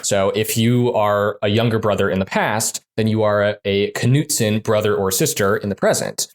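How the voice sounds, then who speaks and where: A man who designs games, talking casually in an interview, full of fillers and quick restarts. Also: fairly low pitch. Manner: chatty, many fillers and restarts. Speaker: game designer guest.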